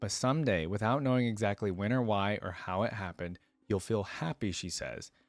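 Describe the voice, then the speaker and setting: A man's voice: fairly low pitch, moderate pace, dry recording. A man reading a story aloud as a reaction host, with informal, slangy asides, recorded close on a microphone.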